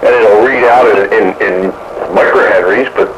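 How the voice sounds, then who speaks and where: A man chatting casually about technical matters as a radio hobbyist, halting as he thinks aloud. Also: lowish voice. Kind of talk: casual technical chat over two-way radio. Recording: muffled, noisy two-way radio transmission.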